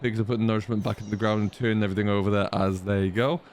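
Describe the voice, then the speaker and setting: A man's voice: lowish voice, little pitch movement, rapid-fire, a little mellow, slight background noise. A man giving casual, rambling commentary as host of a farming roleplay game, thinking aloud as he goes.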